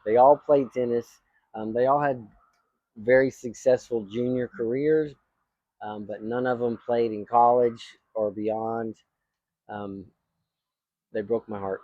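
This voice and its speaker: A man's voice: mid-range voice, fairly even intonation, unhurried, long pauses, dry recording, phone-quality sound. A man from Texas in his fifties, a tennis coach co-hosting a casual chat in an easygoing, self-deprecating way. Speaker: tennis coach, channel co-host.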